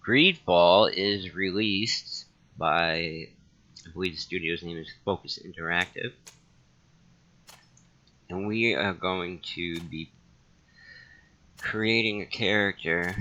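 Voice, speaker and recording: A man's voice: deep voice, takes it slowly, pauses often, pushed and bright, highs cut off, a little hiss or hum. A man hosting a casual let's play, musing and thinking aloud as he goes. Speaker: gaming channel host.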